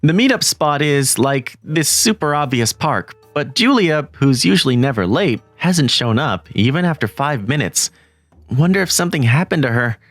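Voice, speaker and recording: An actor playing an earnest, eager teenage schoolboy who narrates and leads a manga voice-over. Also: male voice, a little high, animated, moderate pace, a bit bright, soft background music. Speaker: narrator and lead.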